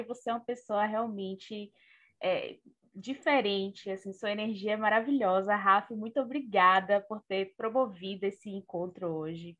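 A Brazilian woman speaks in an informal, gushing way, like a guest on an online panel. Her pitch sits in the middle range. The audio is dull and lo-fi, as on an internet call. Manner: effusive, informal, animated storytelling. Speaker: panelist on a live online discussion show. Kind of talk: casual chat, closing remarks.

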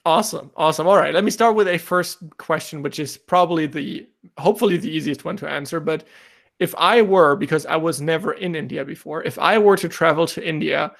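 A man's voice: fairly high pitch, brisk, vocal fry. A man from Germany hosting a podcast in casual remote chat, upbeat and rambling, laughing easily. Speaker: podcast host. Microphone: remote recording setup.

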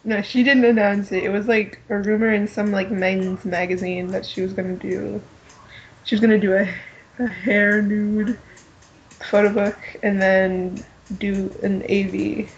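A woman co-hosting a podcast, chatting casually and slangily in an animated fan style. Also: mid-range voice, unhurried, highs cut off, a little hiss or hum. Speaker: podcast co-host. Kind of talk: casual group chat about pop fandom.

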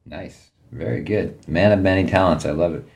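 A man hosting a podcast, asking friendly, halting questions. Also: deep voice, vocal fry, darkish tone. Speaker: podcast host. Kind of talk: podcast interview.

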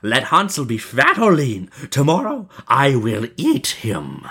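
A storyteller voicing a wicked old witch, sly and mocking, with a high cackling crone's voice and drawn-out, swooping delivery. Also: wildly swooping pitch, fairly bright tone, breathy edge, full character voice. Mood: bossy, impatient.

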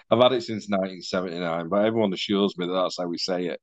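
A man in his forties with a softened Mancunian accent, chatting casually and self-deprecatingly as a podcast guest over a video call. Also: low voice, fast, dry recording. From Manchester, England.

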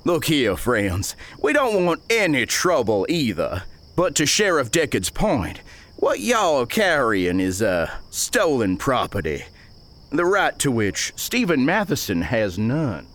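A man's voice: medium pitch, huge pitch swings, takes it slowly, fairly bright tone, pitched above the performer's own voice, full character voice. A man voicing a pompous, long-winded older banker on the frontier, with flowery and ingratiating speech.

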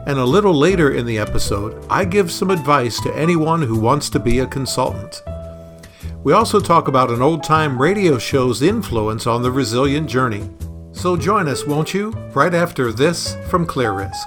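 A man's voice: mid-range voice, expressive, normal speed, over music. A middle-aged man, a consultant and podcast host, speaking about his work on a show. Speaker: podcast host, consultant being interviewed as guest. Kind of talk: scripted podcast introduction and conversational interview answers.

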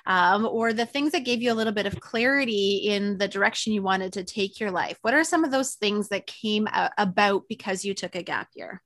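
A woman from Canada hosting a conversational interview, earnest and reflective, slowing down to stress key words. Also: a little high, fairly bright tone, dry recording. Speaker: podcast host.

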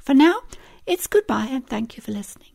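A woman hosting a podcast, reading a scripted sign-off and spelling out web addresses in a measured way. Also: high voice, big pitch swings, vocal fry. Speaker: podcast host.

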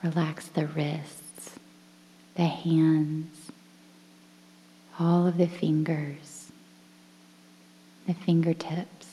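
A woman guiding a relaxation meditation in a soothing, breathy, near-whispered voice with measured phrases and pauses. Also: low-pitched, flat delivery, warm tone. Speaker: guided meditation instructor. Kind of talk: guided relaxation meditation, softly spoken. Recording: long reverberant hall-like echo.

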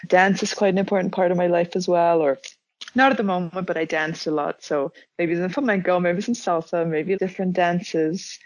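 A young woman with a lowish voice tells stories from her own life in a relaxed, reflective way. She circles back and restarts her sentences as she talks. The recording is dry and close, with no room sound. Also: normal speed, dry recording, low-quality recording. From Zimbabwe. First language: Dutch. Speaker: interviewee. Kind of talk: casual conversation.

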